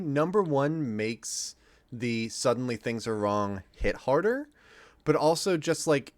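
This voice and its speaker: A man giving casual commentary on an audio drama in a relaxed chat. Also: medium pitch.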